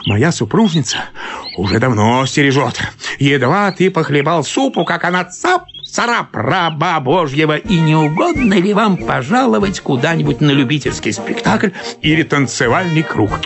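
An actor playing a harried, exasperated husband in a comic radio monologue, complaining with agitated, theatrical emphasis. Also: male voice, fairly high pitch, big pitch swings, creaky, a bit bright, full character voice. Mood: indignant, self-pitying. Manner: agitated, complaining, emphatic swoops and drawn-out words. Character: exasperated harried husband, a summer cottage commuter. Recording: studio radio play with music behind.

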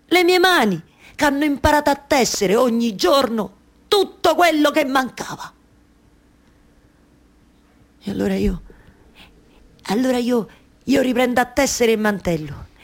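A woman's voice: high voice, animated, normal speed, voice pushed up. A woman with a Roman Italian accent playing a weary, yearning wife who weaves stories, in an incantatory theatrical monologue. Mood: insistent, yearning. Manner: incantatory repetition, shifting from murmur to insistence. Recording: live music behind the voice.

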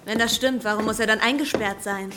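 A woman's voice: on the high side, steady tone, brisk, noisy background, close to an everyday voice. A woman playing an outspoken, argumentative teenage girl in a radio drama, pert and probing. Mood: defiant, questioning. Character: outspoken, defiant teenage girl from next door.

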